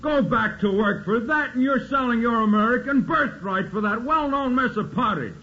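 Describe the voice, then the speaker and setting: An actor playing a pushy agitator who talks persuasively with a hard-selling manner. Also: male voice, very high voice, lively intonation, moderate pace, bright, forceful tone, exaggerated voice. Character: pushy male agitator handing out propaganda. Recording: muffled old radio broadcast.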